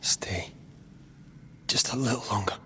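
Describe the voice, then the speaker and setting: A man playing a grieving, devoted banisher of ghosts in a video game cutscene, solemn and measured, with old-fashioned phrasing. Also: on the low side, bright, forceful tone, whispered. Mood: grieving, pleading.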